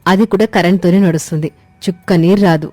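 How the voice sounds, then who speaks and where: A woman's voice: on the low side, fairly even intonation, fast, mellow, no room sound, soft background music, natural voice. A woman as a modern city daughter-in-law. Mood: worried. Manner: English words mixed into Telugu. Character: modern young city daughter-in-law, gadget-proud, polite.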